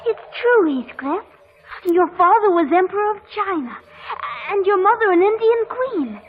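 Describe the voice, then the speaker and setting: Very high-voiced fanciful little girl. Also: big pitch swings, normal speed. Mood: tender. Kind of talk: 1940s radio drama acting. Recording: muffled radio broadcast with music.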